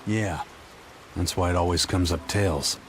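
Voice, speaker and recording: A cursed pirate in a video game. His voice sits fairly low, gruff and stoic, delivering everything in a blunt, matter-of-fact deadpan while music plays behind. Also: a little slow.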